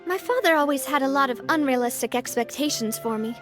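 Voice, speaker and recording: A woman playing a refined, warm-hearted young leader in a video game, talking in a gentle, earnest, thoughtful way. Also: high voice, animated, fast. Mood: fond, reflective. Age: young adult. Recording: background music.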